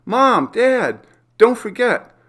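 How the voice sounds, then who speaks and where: Man doing an excited high-pitched little girl. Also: slow, exaggerated voice. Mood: eager. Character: excited young daughter, eager child.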